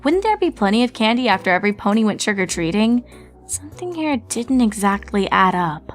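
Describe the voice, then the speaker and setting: A woman reading a fan story aloud as narrator, with steady storytelling narration. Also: medium pitch, brisk, soft background music. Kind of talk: fanfic audiobook reading.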